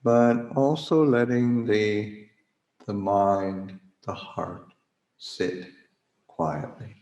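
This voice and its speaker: Very slow-speaking man guiding meditation. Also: fairly low pitch, steady tone, highs cut off. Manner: halting, gentle pauses, hesitant searching for words. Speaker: Buddhist monk leading a guided meditation. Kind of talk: guided meditation. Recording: roomy, echoing livestream recording.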